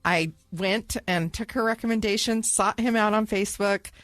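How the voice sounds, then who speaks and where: A woman's voice: mid-range voice, moderate pace, a bit bright, dry recording. A woman co-hosting a podcast, upbeat and chatty as she talks with a guest. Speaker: podcast co-host. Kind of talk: casual interview talk.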